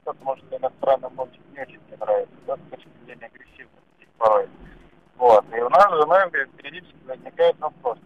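A man calling into a show by phone, putting a question in casual speech. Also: mid-range voice. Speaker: caller to a show. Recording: dull muffled phone call.

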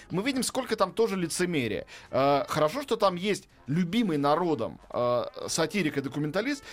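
A middle-aged man talking as a film critic in a casual studio chat, enthusiastic and opinionated, with many fillers. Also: high voice. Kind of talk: radio film review chat.

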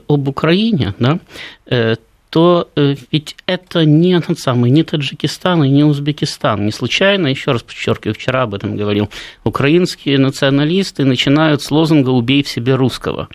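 A man's voice: mid-range voice, normal speed, dry recording. Guy on a talk show, lots of ums and restarts, dry close sound. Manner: hesitant, many fillers and restarts. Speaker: studio guest. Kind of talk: political talk show discussion. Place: studio.

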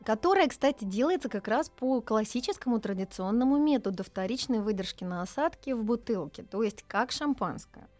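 A woman's voice: fairly high pitch, lively intonation, a little fast, hardly any pauses, a little mellow, faint music behind. A woman speaking as a wine expert guest on a radio show, explaining patiently with some fillers.

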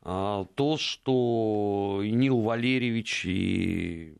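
A man's voice: lowish voice, extremely slow, creaky, clean tone. A man appearing as a guest commentator on a political talk show, giving confident, assertive analysis.

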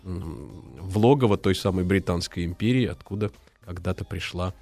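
Low-voiced male radio host. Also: moderate pace, darkish tone. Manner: thoughtful, hesitant with many fillers. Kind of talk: live radio talk. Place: radio studio. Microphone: studio microphone.

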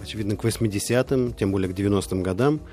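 A man co-hosting a radio talk show, asking measured, halting questions. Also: lowish voice, dark tone, soft background music. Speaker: radio co-host.

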